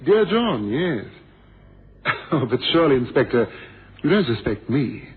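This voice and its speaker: A man acting a suave, self-assured, flippant gentleman in a radio drama, with a mocking, unruffled manner. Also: medium pitch, very animated, a little slow, phone-quality sound, a little hiss or hum. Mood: amused, flippant. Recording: thin band-limited old radio.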